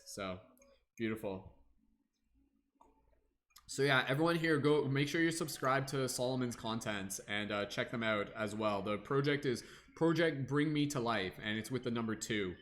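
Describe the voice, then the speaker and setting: A man's voice: medium pitch, a bit bright. A young man hosting a casual tarot livestream, rambling with many fillers and humming to himself between comments.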